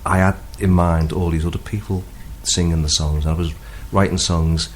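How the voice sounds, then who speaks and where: A man's voice: deep voice, steady tone, moderate pace, a little hiss or hum. A man from Liverpool with a Liverpool accent, chatting casually and a bit hesitantly as a singer-songwriter guest in a radio interview. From Liverpool, England.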